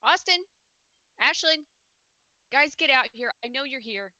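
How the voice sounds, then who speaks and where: A woman's voice: high-pitched, animated, unhurried, bright, forceful tone, no echo, full character voice, poor audio. A woman playing an uneasy, foul-mouthed young woman driver in an amateur horror table read. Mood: irritated.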